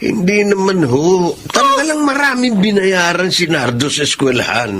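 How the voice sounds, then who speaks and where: Filipino radio drama debtor dad: middle-aged, high, animated, full of pleading excuses. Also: male voice, normal speed. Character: wheedling middle-aged father with gambling debts.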